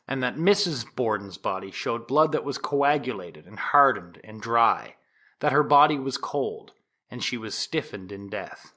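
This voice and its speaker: A nineteenth-century prosecutor addressing a jury in a courtroom audio drama. He is a solemn, accusatory figure with a lively, widely moving pitch. His oratory is formal and deliberate, rising firmly on the points he wants to press. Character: 1890s male prosecuting attorney, solemn, insistent, accusatory. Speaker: actor in an audio theatre production. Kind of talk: dramatized courtroom opening statement.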